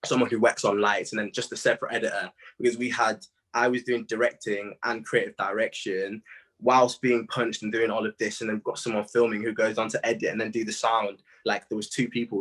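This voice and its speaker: A young British man in a casual interview, telling stories in slangy, animated speech full of quoted asides. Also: on the low side. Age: young adult. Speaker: music artist being interviewed.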